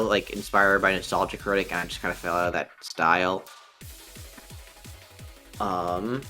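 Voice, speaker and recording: A man's voice: low-pitched, bright, forceful tone. A young man with a nasal voice, chatting casually on a live stream, rambling with many ums and restarts. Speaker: stream co-host.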